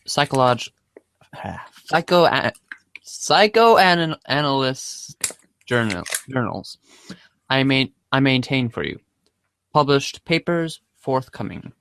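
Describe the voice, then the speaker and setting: Man acting analytical teenage girl, wry, wordy, halting, medium male pitch, webcomic read-aloud. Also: expressive, fairly bright tone, a little hiss or hum. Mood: dryly analytical.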